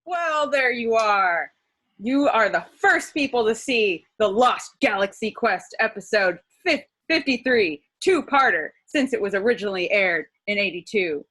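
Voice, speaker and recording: A woman playing an excitable master of ceremonies at a fan convention, announcing like a showman in an amateur table read. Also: mid-range voice, a little slow. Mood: proudly announcing. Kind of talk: amateur table read of a film comedy script.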